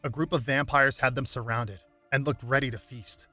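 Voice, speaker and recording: A man narrates a superhero tale with a bright, crisp edge to his voice. He reads scripted prose dramatically, with faint music underneath. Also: medium pitch, fairly even intonation, normal speed, no room sound. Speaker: narrator reading game lore aloud.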